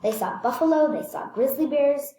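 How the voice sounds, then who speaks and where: A woman reading a children's picture book aloud expressively, stressing words and pausing to point things out. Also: high voice, warm tone, roomy sound, a little hiss or hum. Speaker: read-aloud storyteller.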